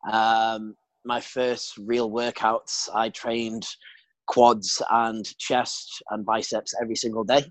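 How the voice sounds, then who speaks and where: A man's voice: on the low side, flat delivery, slow, dry recording. Laid-back British man in his twenties. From Britain. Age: 20s. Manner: laughs often, swears casually. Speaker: podcast guest. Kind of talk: casual interview chat.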